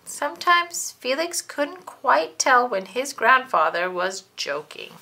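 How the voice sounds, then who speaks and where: A woman narrates a children's storybook with dramatic, animated swings. Her pace is unhurried and deliberate, and her voice has a creaky edge. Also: sits high. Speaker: storybook reader. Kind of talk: expressive children's read-aloud narration.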